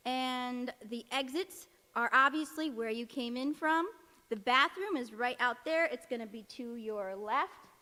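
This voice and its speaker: A woman with a high voice makes informal theatre announcements before a show. She banters casually and a little scattily, and her voice rings around a reverberant hall. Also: unhurried, bright, forceful tone. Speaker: theatre announcer welcoming audience. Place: live in church hall before an audience. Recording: echoey hall, long reverb.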